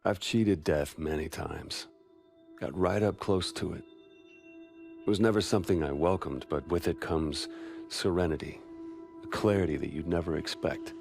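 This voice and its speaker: Male game narrator: world-weary soldier, deep register, solemn and deliberate, over prominent music. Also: vocal fry. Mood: solemn, reflective. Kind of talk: scripted dramatic narration.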